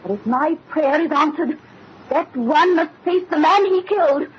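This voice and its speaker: An actress playing a determined, grieving young daughter with an intense, imploring manner in 1930s radio horror. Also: female voice, high-pitched, normal speed, lightly acted. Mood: accusing, determined. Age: young adult. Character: determined, grieving young daughter avenging her murdered father. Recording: muffled old radio recording with hiss.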